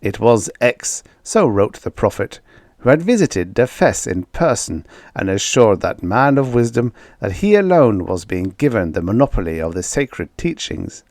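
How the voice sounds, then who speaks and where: A man with a fairly low voice narrates a science fiction audiobook. His delivery is measured and dramatic, leaning on key words for emphasis. The sound is dry and close, with little room in it. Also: big pitch swings, a little mellow, no echo. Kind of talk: scripted narration of vintage science fiction stories.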